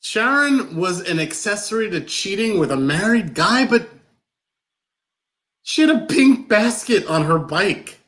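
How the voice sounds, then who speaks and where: Man acting incredulous, digressing guy, slightly high-pitched. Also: sing-song, a little slow, fairly bright tone, heavily acted. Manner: disbelieving, wistful, digressive. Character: incredulous, fixated man hearing gossip about an old neighbor. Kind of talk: live play reading.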